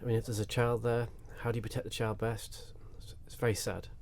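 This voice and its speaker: A man giving his thoughts slowly and simply as a contributor to a radio discussion. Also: medium pitch, level tone. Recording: distant, clearly noisy background ambience.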